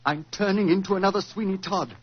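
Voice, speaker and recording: Husky, tormented stage actor. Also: male voice, high-pitched. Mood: haunted. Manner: intense, dramatic, halting. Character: tormented, frightened stage actor fearing he is mad. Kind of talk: 1940s radio drama acting. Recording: dull 1940s radio recording.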